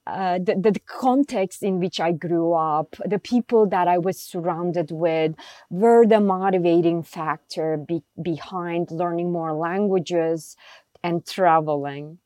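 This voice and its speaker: A woman from Bulgaria, a literary translator, answering interview questions in a reflective, measured way with frequent pauses and ums. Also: on the low side, warm tone, dry recording.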